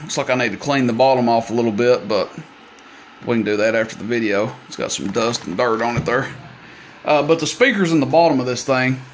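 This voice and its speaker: A man's voice: mid-range voice, brisk. A man giving a casual, plain-spoken product demonstration of equipment, with folksy, hesitant wording. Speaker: radio seller demonstrating equipment. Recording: amateur video with clear background noise.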